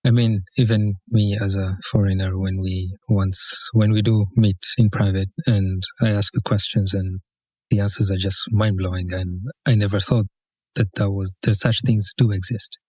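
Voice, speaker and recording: A man's voice: fairly low pitch, little pitch movement, normal speed, a little mellow, no room sound, rough recording. A man hosting a podcast interview, asking curious questions and adding short encouraging replies. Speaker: podcast host. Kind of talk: casual interview.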